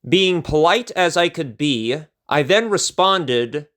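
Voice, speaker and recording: A man narrating a scripted personal story as a storyteller, with theatrical, measured phrasing. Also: fairly high pitch, expressive, fairly bright tone.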